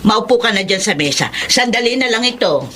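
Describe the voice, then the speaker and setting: A woman's voice: fairly high pitch, lively intonation, brisk, a bit bright, a little hiss or hum. An actress with a Filipino accent playing a caring, resigned elderly grandmother in a radio drama. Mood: coaxing.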